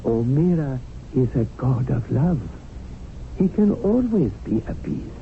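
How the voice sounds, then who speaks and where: Wry, deferential servant-narrator, tinny radio. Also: male voice, mid-range voice, sing-song, gravelly. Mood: solemn, cunning. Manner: formal, flowery, measured phrasing. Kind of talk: 1970s radio drama narration and acting. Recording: noisy narrow-band old radio broadcast.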